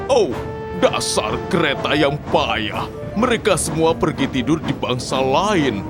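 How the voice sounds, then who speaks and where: A man's voice: fairly high pitch, very animated, unhurried, over music. A man voicing a proud, self-important, complaining steam engine in a children's cartoon dub. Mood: grumbling, scheming. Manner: pompous, complaining.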